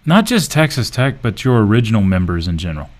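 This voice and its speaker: A man's voice: on the low side, big pitch swings, quick pace. A man co-hosting a casual sports talk show, voicing opinionated, emphatic complaints.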